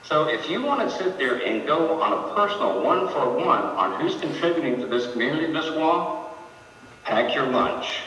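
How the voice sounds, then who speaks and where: A man's voice: fairly high pitch, sing-song, normal speed, a bit bright, a little hiss or hum. A man on the city council speaking defensively and combatively at a public meeting. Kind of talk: heated public meeting remarks. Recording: echoey chamber mic from a distance.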